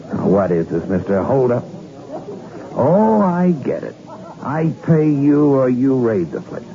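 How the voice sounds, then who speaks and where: An actor playing a cynical, grumbling bar owner in an old radio drama. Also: male voice, mid-range voice, sing-song, takes it slowly, a crowd in the background. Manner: grumbling, wary and defensive. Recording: dull, very noisy 1960s radio recording.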